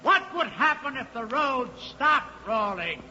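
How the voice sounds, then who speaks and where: An embittered old engineer in a 1950s radio play, scornfully rousing the workers. The voice is very high, forceful and deliberate. It rings in a big reverberant hall on a muffled old broadcast. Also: male voice, very animated, a little hiss or hum, exaggerated voice. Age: older. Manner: haranguing, scornful oratory. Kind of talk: 1950s radio drama acting.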